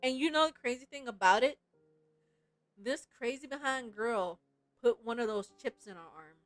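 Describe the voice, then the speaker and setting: A woman game streamer chatting casually and reacting in an animated, giggly way. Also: on the high side, normal speed, dry recording, faint music behind. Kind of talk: casual livestream chat with read-aloud game lines.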